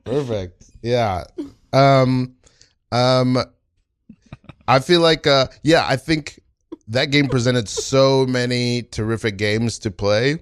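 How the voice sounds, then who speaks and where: A man giving casual, encouraging coaching as the host of an improv class podcast, speaking in a halting way. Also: medium pitch, clean tone, no echo, studio quality. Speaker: improv teacher and show host.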